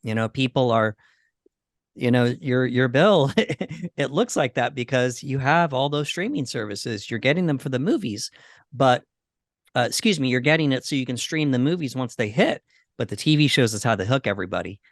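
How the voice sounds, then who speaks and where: A man with a medium-pitched voice talks as a podcast co-host. His delivery is conversational and somewhat halting as he works through his thoughts. The sound is dry, with very little room reflection. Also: expressive, no room sound. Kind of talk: casual discussion of games and movies.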